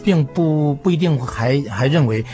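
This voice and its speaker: A man with a Taiwanese Mandarin accent talks as a preacher invited onto a radio programme. He reflects in a conversational, halting way, repeating phrases and ending them on particles. Music plays behind him. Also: on the high side, expressive, mellow. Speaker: preacher, interview guest.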